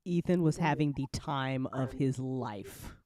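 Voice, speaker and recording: A woman's voice: very deep voice, a little slow, mellow, no room sound. A woman hosting a casual panel chat in an informal, giggly way. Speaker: host and story writer.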